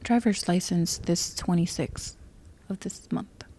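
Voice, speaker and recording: A young woman, a podcast guest chatting casually, animated and slangy, mixing English and Spanish. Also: on the low side, measured pace, in a whisper. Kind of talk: casual chat.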